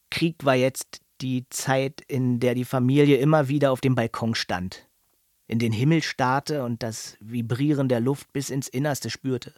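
An actor playing a grown son soberly recalling his wartime childhood, in reflective, measured radio play narration. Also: medium pitch, no echo, clean studio sound, natural voice.

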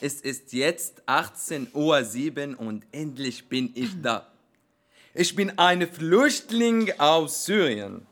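Higher-pitched, theatrical young man. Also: expressive, takes it slowly, a bit bright. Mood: declamatory, ironic. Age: 20s. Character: self-announcing 29-year-old Syrian refugee, theatrical and ironic. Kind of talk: radio play monologue.

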